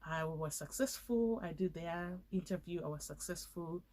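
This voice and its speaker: A woman's voice: fairly low pitch, moderate pace, dark tone. Earnest young woman from Zimbabwe. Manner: earnest storytelling, acts out quoted speech. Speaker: guest, accountant. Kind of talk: interview answers.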